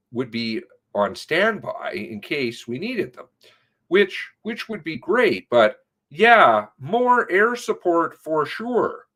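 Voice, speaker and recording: A man reads conversational testimony aloud at an unhurried pace. His pitch sits in a middle range but moves widely, and he leans on certain words to stress them. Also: fairly bright tone. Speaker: narrator reading an interview transcript aloud. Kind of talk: read-aloud of spoken interview transcript with commentary.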